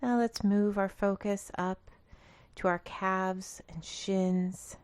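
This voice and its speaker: A woman leading a guided meditation in a calm, gentle, instructional manner. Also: medium pitch, slow pace, smooth, dry recording. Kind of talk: guided body scan meditation.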